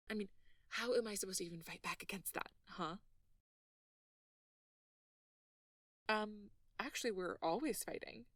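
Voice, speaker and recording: A nerdy, flustered girlfriend played by a woman murmurs in a soft, gravel-edged voice, often close to a whisper. She is bashful and playfully teasing in a halting, conversational way. The sound is very dry, as an intimate ASMR roleplay. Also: mid-range voice, no room sound, distant mic, close to an everyday voice.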